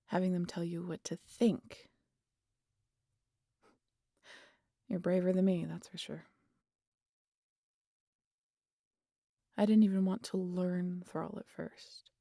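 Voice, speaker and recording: Woman acting a teasing vampire lady for audio roleplay, low gravelly voice, wry n sweary, confiding. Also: little pitch movement, normal speed, dark tone, no room sound, clean studio sound. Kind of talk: intimate audio roleplay monologue addressed to the listener.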